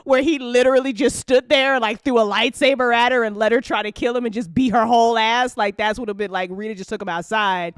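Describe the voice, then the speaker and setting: A woman chatting casually and unscripted on an after-show, telling stories in a rambling, animated way with slangy swearing. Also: a little high, fast, hardly any pauses, clear, resonant tone, no room sound, clean studio sound. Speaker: audio drama creator chatting on a live after-show.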